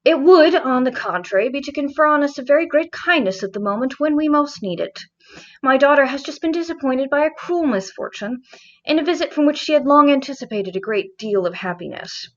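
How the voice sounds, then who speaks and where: A woman voicing a courteous, earnest gentleman father in a storybook reading, formal, gallant and measured. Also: high-pitched, lively intonation, dull audio. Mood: persuasive, courteous.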